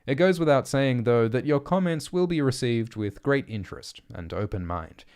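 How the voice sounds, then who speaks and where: A man reading essays and letters aloud as an audiobook narrator, in a measured, deliberate and articulate way. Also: medium pitch, expressive, darkish tone, clear, resonant tone. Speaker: audiobook reader.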